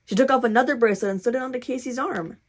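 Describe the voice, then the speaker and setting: A woman reading a children's picture book aloud in an expressive, dramatic way with excited asides. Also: fairly high pitch, racing. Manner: animated, dramatic, cheering reader.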